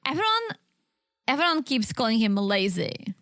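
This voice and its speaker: A woman co-hosting a podcast, chatting quickly about a reality show and laughing often. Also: fairly high pitch, big pitch swings, a bit bright, no echo, studio quality. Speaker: podcast co-host. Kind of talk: casual chat recapping a reality show.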